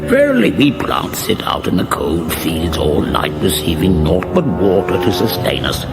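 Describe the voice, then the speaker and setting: A portentous chronicler in a radio fantasy parody. His deep voice is heavily creaky and rises and falls in solemn scriptural cadences over music. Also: full character voice, poor audio. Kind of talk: mock-biblical scripted narration.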